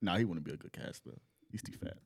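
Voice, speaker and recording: A man's voice: low-pitched, fast, breathy edge. A man co-hosting a podcast, chatting informally in short casual interjections. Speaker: podcast co-host.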